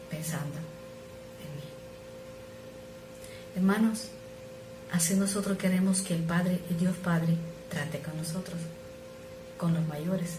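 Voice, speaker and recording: A middle-aged woman who is not a native speaker, preaching an earnest, measured sermon that sometimes drops to soft asides. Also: fairly low pitch. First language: not Spanish. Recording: echoey room with noise.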